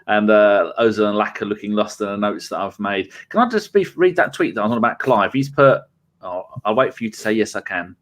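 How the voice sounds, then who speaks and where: A man's voice: lowish voice, a little fast, a bit bright. A man co-hosting a football podcast, rambling through his notes with a jokey, teasing manner. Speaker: podcast co-host. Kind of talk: casual football chat.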